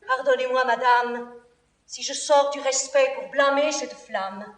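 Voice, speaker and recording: An actress playing a devoted, respectful confidante to a princess, declaiming classical French verse tragedy in an earnest, theatrical way. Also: female voice, sits high, steady tone, a little slow. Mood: shocked, reproving. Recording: roomy, distant theatrical studio recording.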